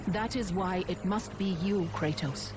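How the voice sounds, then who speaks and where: A woman playing a stately, commanding Greek goddess in a video game, speaking formally and gravely. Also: fairly low pitch, a little slow, background noise, lightly acted. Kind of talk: dramatic video game acting. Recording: music under the voice.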